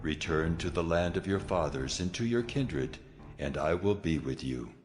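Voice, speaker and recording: God in scripture drama. Bottomlessly low, solemn, authoritative, light music bed. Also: male voice, lively intonation, normal speed. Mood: solemn, commanding. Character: God, solemn commanding male divine voice.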